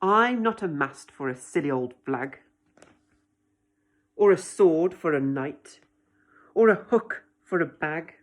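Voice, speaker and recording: A woman's voice: deep voice, lively intonation, slow, long pauses. A woman voicing an indignant little living stick man in a storybook, plaintive and emphatic as they chant protests in rhyme.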